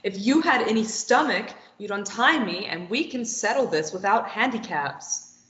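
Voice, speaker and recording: A woman reading a defiant captive young woman at a table read, firing back quick retorts. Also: mid-range voice, animated, a bit bright, roomy sound, muffled recording, natural voice. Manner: quick retorts, tense and pleading when threatened.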